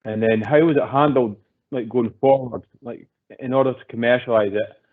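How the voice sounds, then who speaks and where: A man's voice: medium pitch, steady tone, normal speed, narrow, tinny audio. A man hosting an online masterclass, thanking the speaker and asking long, friendly, rambling questions full of fillers. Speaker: event host.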